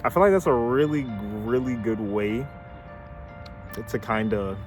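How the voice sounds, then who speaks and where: A man's voice: mid-range voice, animated, measured pace, a little mellow, soft background music. A man about to turn twenty-one, rambling and hesitant as he thinks aloud in a casual vlog, recorded outdoors on a camera mic. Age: 20s. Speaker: vlogger. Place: outdoors near a car with a city view.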